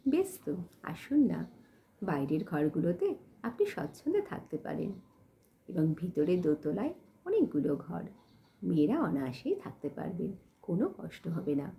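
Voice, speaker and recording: A woman voicing a poor, dignified young village woman who speaks softly and politely in an audio storybook. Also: on the low side, huge pitch swings, normal speed, vocal fry, pauses often, exaggerated voice. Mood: welcoming, polite. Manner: soft, polite, pleading but composed. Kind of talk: acted dialogue in audio storybook.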